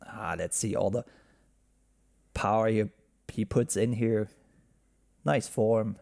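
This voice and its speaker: A man's voice: fairly low pitch, steady tone, takes it slowly, creaky, a little mellow. A man co-commentating on a disc golf round, chatting and reading out player stats. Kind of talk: sports commentary.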